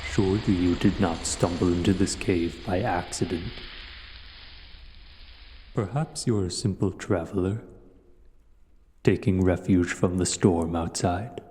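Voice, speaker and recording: A man playing a huge, ancient, menacing dragon in an audio roleplay, speaking slowly and deliberately in a patient, taunting way. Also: sits low, warm tone. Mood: musing, suspicious. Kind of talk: scripted audio roleplay acting. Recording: cavernous long reverb, some background noise.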